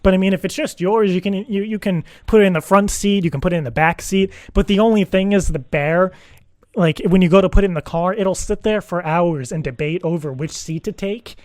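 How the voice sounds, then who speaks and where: A man playing an earnest, anxious divorced dad in an improvised prank phone call, rambling and repeating himself haltingly. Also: high-pitched, a little mellow, dry recording, close to an everyday voice. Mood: anxious, insistent. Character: earnest, anxious divorced dad seeking a teddy-bear sitter. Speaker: prank caller.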